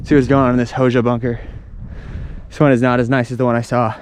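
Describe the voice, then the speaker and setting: A man's voice: medium pitch, level tone, fast, darkish tone, a little hiss or hum. A man talking casually and informally as a travel vlogger, explaining things unscripted while walking around outdoors. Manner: chatty, informal, explaining as he explores.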